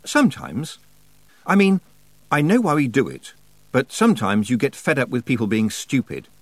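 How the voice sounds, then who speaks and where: A man's voice: medium pitch, big pitch swings, normal speed, no room sound, voice pushed up. A man voicing an earnest, proud young trainee witch girl in an audiobook, with a direct manner. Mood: frank. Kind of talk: audiobook character dialogue.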